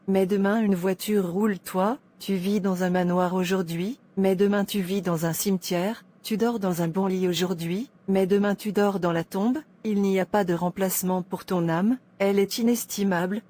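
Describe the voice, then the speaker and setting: A woman's voice: mid-range voice, mellow. A woman narrating a scripted moral story in a solemn, preachy manner.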